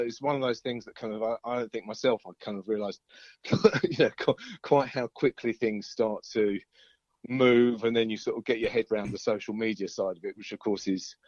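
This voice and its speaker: A man's voice: mid-range voice, fairly even intonation, normal speed, no room sound. An easygoing man chats casually as an interviewed band musician, rambling and often hesitating over his words. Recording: dull lo-fi call audio.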